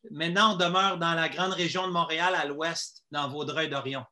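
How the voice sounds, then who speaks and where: Man, Quebec French accent, slightly high voice, bright forceful tone, interview guest, personal storytelling. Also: fairly even intonation, normal speed. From Quebec, Canada. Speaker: interview guest giving a testimony.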